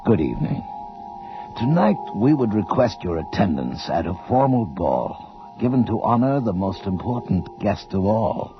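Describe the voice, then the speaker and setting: A man announcing a radio drama in an ominous, theatrical and measured scripted style. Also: on the low side, very animated. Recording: muffled 1980 radio broadcast with music.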